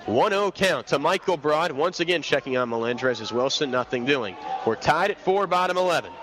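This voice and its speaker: A man's voice: a little high, lively intonation, normal speed. A young man calling live baseball play-by-play for radio, switching between clipped recaps of the count and flowing bits of detail. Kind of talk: live radio sports commentary. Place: outdoor baseball stadium broadcast booth. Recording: live outdoor broadcast with loud ballpark noise. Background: loud stadium ambience.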